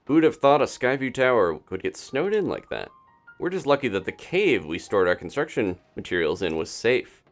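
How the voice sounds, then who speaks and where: A man's voice: mid-range voice, very animated, moderate pace, faint music behind, pitched above the performer's own voice, lightly acted. A man reading aloud an upbeat construction worker's field report from a video game, in a storytelling way. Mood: matter-of-fact, upbeat.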